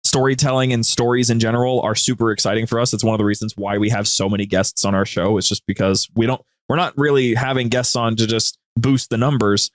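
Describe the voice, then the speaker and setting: A man's voice: medium pitch, little pitch movement, quick pace, hardly any pauses, clean studio sound. Guy chatting on a podcast, mid voice, no room echo, really into explaining stuff like a story. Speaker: podcast guest and co-host of a media group's show. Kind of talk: casual interview talk.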